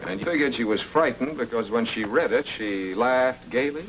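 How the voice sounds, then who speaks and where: An actor playing a sardonic, deadpan private detective in a film noir, trading unhurried wisecracks. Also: male voice, mid-range voice, close to an everyday voice, low-quality recording. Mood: dry skepticism. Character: wry, insolent male private detective for hire. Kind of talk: film noir screen acting. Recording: vintage film soundtrack, loud orchestral music.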